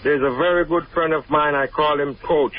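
A man's voice: on the high side, steady tone, normal speed. Earnest Jamaican man on a phone line. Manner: earnest, emphatic, repeats himself. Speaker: musician guest. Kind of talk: casual radio interview chat.

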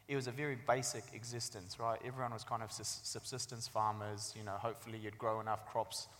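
A man's voice: on the low side, level tone, fast talker, fairly bright tone, a little hiss or hum. A man, giving an articulate, storytelling talk about his own life as a guest author. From Afghanistan, later New Zealand. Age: 30s. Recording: echoey hall with long reverb.

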